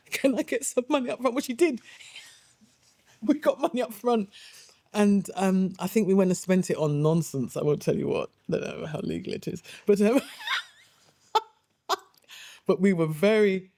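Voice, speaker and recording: A woman's voice: medium pitch, measured pace, long pauses, mellow, no echo. A middle-aged woman, an actress and playwright, chatting animatedly and laughing often in an interview. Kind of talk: interview, reminiscing.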